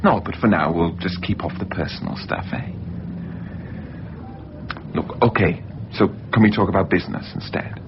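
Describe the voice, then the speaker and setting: A man's voice: sits low, moderate pace, long pauses, lightly acted. An actor in a radio drama playing a casual but insistent, scheming man obsessed with films. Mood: hesitant, chatty. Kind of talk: 1990s radio drama acting. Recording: muffled, noisy radio drama recording.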